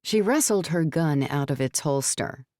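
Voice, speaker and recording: A woman reading scripted narration for a novel in a measured storytelling manner. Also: low voice, expressive, a little mellow, no echo, studio quality. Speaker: audiobook narrator.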